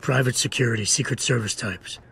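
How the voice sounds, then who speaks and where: An actor playing a terse, brooding security agent in a video game, speaking in a clipped deadpan. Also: male voice, mid-range voice, fairly quick, vocal fry, a little hiss or hum. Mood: grim, businesslike.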